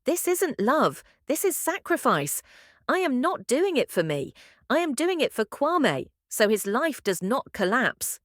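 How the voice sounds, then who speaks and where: A woman's voice: high-pitched, sing-song, a little slow, no echo, clean studio sound, pitched above the performer's own voice. A woman voicing a devoted, anguished young wife who speaks earnestly and pleadingly in a told folktale. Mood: desperate resolve.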